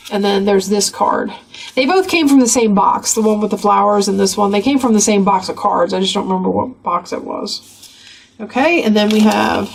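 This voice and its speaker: A woman with a gravelly voice from a cold, chatting casually as she flips through a craft journal. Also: mid-range voice. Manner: chatty, rambling, with many fillers. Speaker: craft channel host.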